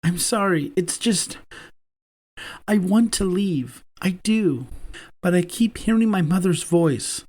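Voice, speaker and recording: Male narrator doing a gentle, timid young man, raised pitch, soft and shaky. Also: expressive, slow, heavily acted. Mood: tearful, hesitant.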